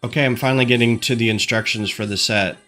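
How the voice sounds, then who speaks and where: A man's voice: mid-range voice, fairly even intonation, quick pace, bright, forceful tone. Man with a stuffed-up nose streaming from his desk, rambling and thinking aloud, mid-range voice, faint music behind. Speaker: LEGO designer streaming. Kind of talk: casual livestream chat. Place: home desk. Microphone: desk microphone.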